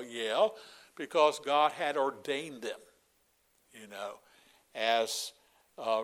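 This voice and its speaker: A man's voice: mid-range voice, a bit bright. An older man preaching a Bible lesson in a folksy way, with many fillers and asides. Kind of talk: Bible teaching sermon.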